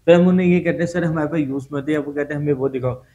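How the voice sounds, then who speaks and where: A man's voice: fairly high pitch, steady tone, racing, dark tone, lo-fi sound. A man with a Pakistani Urdu accent, working as a salesman, politely explaining treadmill features in a showroom and calling the host sir. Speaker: shop salesman. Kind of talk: product explanation. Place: indoor gym equipment showroom.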